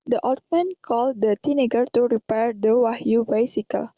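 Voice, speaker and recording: Echoey, mellow Indonesian woman narrator. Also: fairly high pitch, fairly even intonation, normal speed. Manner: careful non-native English reading. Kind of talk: scripted story narration. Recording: dull, echoey lo-fi recording.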